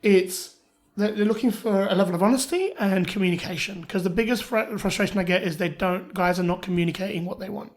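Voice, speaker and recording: A man with a cold, his voice pitched higher than usual, talking as a dating coach in a casual podcast chat, firing off rhetorical questions and repeating words as he starts. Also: brisk. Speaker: dating coach and workshop runner.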